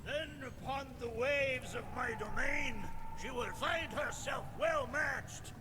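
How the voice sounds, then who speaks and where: A man's voice: extremely high pitch, slow pace, noisy background. A man playing a stern ghostly ferryman of the dead in a video game, declaiming in solemn, archaic phrases. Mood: battle cry. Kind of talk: video game character acting. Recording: loud game music behind the voice.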